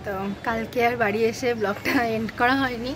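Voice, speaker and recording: High-voiced woman, noisy recording. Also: steady tone, a little fast. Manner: cooing baby talk to an infant. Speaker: vlogger. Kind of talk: casual vlog chat. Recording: noisy home video recording.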